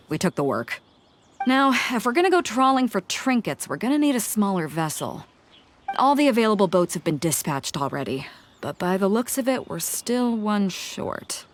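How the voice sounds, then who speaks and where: A woman's voice: medium pitch, a little hiss or hum, close to an everyday voice. An actress playing a brash, hearty female ship captain in a video game, with a blunt, breezy manner. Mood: grumbling, matter-of-fact.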